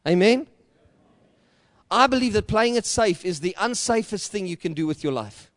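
Man, South African accent, Afrikaans speaker, pastor preaching, high-pitched, dry close sound, passionate, repetitive build-ups. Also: expressive, moderate pace, no room sound. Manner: passionate preaching, rising to loud emphatic peaks. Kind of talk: sermon.

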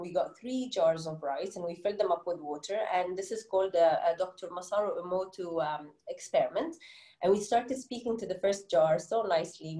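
Middle-aged woman author, Egyptian English accent, low mellow tone, earnest, filler-heavy answers, roomy echo. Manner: earnest, many "you know" fillers. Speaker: children's book author, guest. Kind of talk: interview answers.

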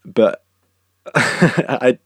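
A man's voice: medium pitch, extremely slow, fairly bright tone, husky, no echo, studio quality. A young man hosting his podcast in a casual, chatty way, laughing between phrases and carrying a lingering cough. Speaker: podcast host. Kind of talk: casual chat. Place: indoors at a countryside house.

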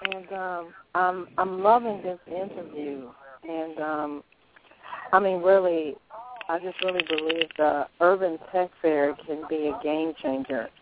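A woman's voice: fairly low pitch, unhurried. A woman calling in to a radio show, enthusiastic and rambling with ums. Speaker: caller. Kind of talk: call-in radio. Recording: tinny phone line with crowd noise.

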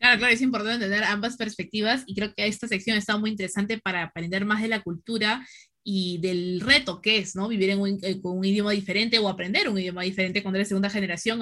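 Quick, bright-voiced woman host. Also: medium pitch. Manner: affirming, summarizing. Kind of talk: conversational interview.

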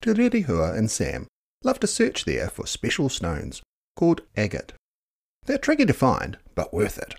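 A man reading a children's picture book expressively, dramatic, with hushed and drawn-out words. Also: on the low side, wildly swooping pitch, creaky. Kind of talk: expressive children's story narration.

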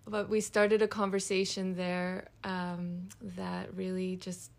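A woman's voice: on the low side, monotone, slow pace, gravelly. A woman from California chatting playfully alongside her husband. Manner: playful, laughing often. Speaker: interviewee, film producer. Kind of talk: casual chat.

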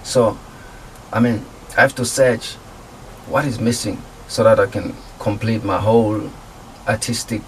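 A laid-back man with a lowish voice tells his story as a radio guest, easygoing and slangy. He sits a little back from the mic, and a light hiss runs underneath. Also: measured pace, far from the mic, poor audio. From South Africa. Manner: relaxed, laid-back slang, laughs easily. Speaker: guest music producer and artist. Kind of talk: casual interview talk.

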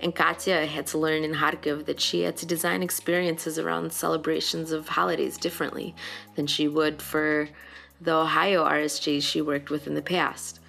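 A woman hosting a podcast, reading a measured, scripted narration. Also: low-pitched, little pitch movement, creaky, a bit bright, soft background music. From United States. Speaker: host.

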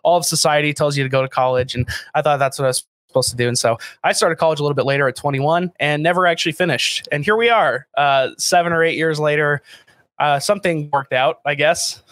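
A talkative American man in his late twenties, guest on a podcast, pitched a little above most men. He rambles casually through fillers and restarts, poking fun at himself. The sound is dry and close, with no room around it. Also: fast, a bit bright, dry recording. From United States. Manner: chatty, self-deprecating, many fillers and restarts. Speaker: podcast guest, startup founder. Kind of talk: casual interview talk.

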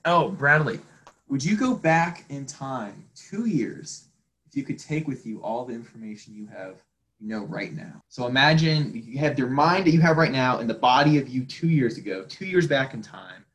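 Animated young man, bright voice. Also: mid-range voice, normal speed, rough recording. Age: young adult. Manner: rambling, animated, self-mocking. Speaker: podcast co-host. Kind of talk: casual chat.

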